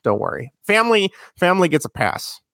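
A man's voice: sits high, sing-song, a little fast, gravelly, a bit bright, no echo, clean studio sound. A man in his thirties co-hosting a podcast, chatting casually and reminiscing, now and then talking to viewers in the live chat. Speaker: podcast co-host.

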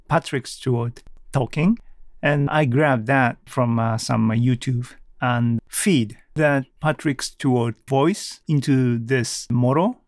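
A middle-aged man hosting a casual solo podcast, thinking aloud haltingly in non-native English phrasing. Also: mid-range voice, no room sound, studio quality. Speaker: podcast host.

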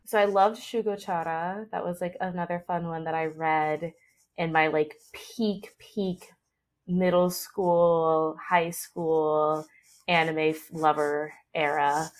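A woman's voice: deep voice, fairly even intonation, slow. Chatty, enthusiastic young woman, podcast guest. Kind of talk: casual chat about anime.

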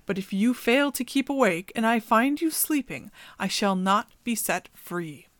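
Enchanted raven princess, lilting, high, woman doing the voice, close dry sound. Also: animated, unhurried, fairly bright tone, no room sound. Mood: earnest. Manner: lilting, higher put-on voice.

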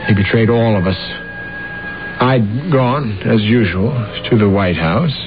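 A man's voice: on the low side, unhurried, over music, natural voice. An actor playing an aggrieved, self-important, deluded man in an old-time radio drama. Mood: grandiose, insistent. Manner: self-important, bitter, insistent. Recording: muffled, noisy old radio drama recording.

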